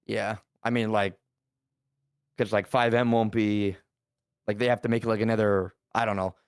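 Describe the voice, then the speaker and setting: A man's voice: fairly low pitch, flat delivery, normal speed, clean tone, no room sound, studio quality. A man in his late twenties, a podcast guest, talking casually with slang and lots of fillers. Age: 20s.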